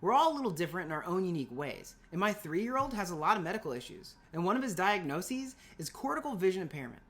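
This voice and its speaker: A woman's voice: lowish voice, very animated, quick pace. A woman teaching an informal scripted lesson for middle schoolers, chatty and playful with emphatic asides.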